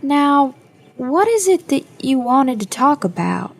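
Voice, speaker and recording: A level-headed young woman played by an actress in an audio drama, with a fairly high voice and slow delivery. She is guarded, dry and firm. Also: very animated, exaggerated voice. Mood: composed.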